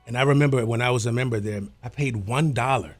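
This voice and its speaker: An American man with a somewhat nasal voice, a guest on a talk show, relaxed and slangy, often calling people "man". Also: medium pitch, fast talker, soft background music. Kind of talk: casual talk show chat.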